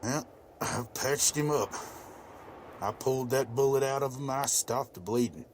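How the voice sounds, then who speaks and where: Male actor as older rural farmer, steady, devout, plain-spoken, mid-pitched, faint background noise, motion comic drama. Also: unhurried, natural voice. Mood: calm, steady.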